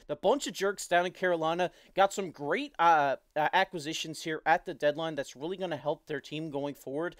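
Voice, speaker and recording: A man's voice: fairly high pitch, no room sound, clean studio sound. A man from Newfoundland with a thick Newfoundland accent, hosting an enthusiastic hockey analysis show, with many fillers and restarts. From Newfoundland, Canada. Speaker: host.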